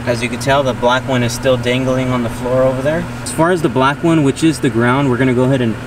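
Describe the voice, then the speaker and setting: A man's voice: mid-range voice, steady tone, quick pace. Man narrating a tutorial, noisy distant recording. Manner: informal, plain step-by-step explaining. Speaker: host of car how-to videos. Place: in and around a parked pickup truck. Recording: distant mic, clear noisy vehicle background.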